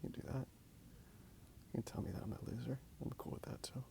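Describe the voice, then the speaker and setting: A man whispering softly in a breathy, gravelly voice, rambling casually and a little hesitantly in an ASMR style. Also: mid-range voice, quick pace, background noise. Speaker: ASMR video creator. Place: outdoors in a park.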